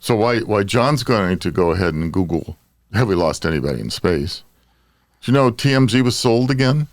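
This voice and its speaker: A man's voice: fairly low pitch, animated, normal speed, dry recording, studio quality. An older man with an American accent, hosting a casual podcast panel chat. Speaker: podcast host.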